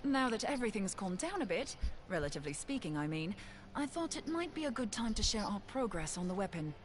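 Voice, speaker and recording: A woman's voice: mid-range voice, sing-song, a little fast, natural voice. An actress playing an eager woman scholar in a video game cutscene, explaining things articulately. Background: game music.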